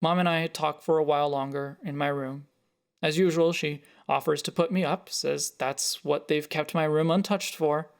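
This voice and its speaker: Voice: mid-range voice, steady tone, normal speed, creaky. An author reading their own first-person short story as scripted narration.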